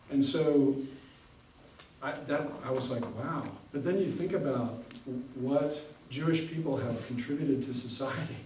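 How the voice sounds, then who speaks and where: A man, a pastor, giving a casual, conversational talk with many fillers and pauses. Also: medium pitch, darkish tone, a little hiss or hum. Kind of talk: casual lecture with audience Q&A. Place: large hall with audience. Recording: echoey hall from far off.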